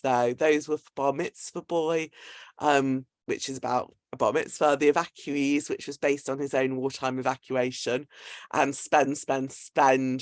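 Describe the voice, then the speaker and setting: A woman's voice: rumbling low, dry recording. A British woman from Manchester, speaking as a host and giving an introduction at an online talk. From Manchester, England.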